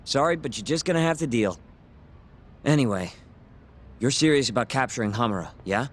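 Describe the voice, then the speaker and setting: An actor playing a brash, impatient young sidekick in a video game, casual and snippy. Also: male voice, medium pitch, expressive, fairly quick, lightly acted. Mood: irritated. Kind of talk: English video game dub acting.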